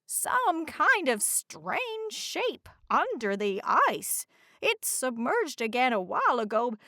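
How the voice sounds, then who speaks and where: A woman's voice: high voice, big pitch swings, slow pace, bright, forceful tone, no echo, studio quality, pitched above the performer's own voice, exaggerated voice. A woman voicing a watchful female lighthouse who takes charge in a children's storybook. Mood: concerned, urgent.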